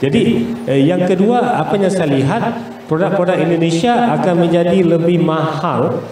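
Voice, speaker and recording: A man from Malaysia with a Malaysian accent gives explanatory interview answers as a business guest, pausing and searching for words. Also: high voice, mellow. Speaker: business guest, investor and trade promoter. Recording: echoey, distant mic with faint noise.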